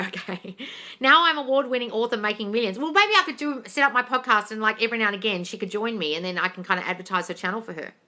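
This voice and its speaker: A woman's voice: fairly high pitch, sharp tone. A woman from England hosting a casual livestream, reading viewers' comments aloud and answering them bluntly, calling them darling and swearing freely. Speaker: manifesting coach answering live chat questions. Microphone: phone livestream.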